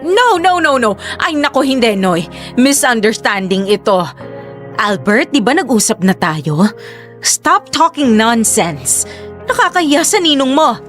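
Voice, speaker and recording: A scornful, bigoted mother, played by a woman in a radio drama, high and shrill, her voice leaping up and down as she lashes out. She speaks with open contempt, over faint background music. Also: big pitch swings, a little slow. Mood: flustered. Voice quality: shrill, contemptuous, shouting tirades. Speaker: mother of Albert.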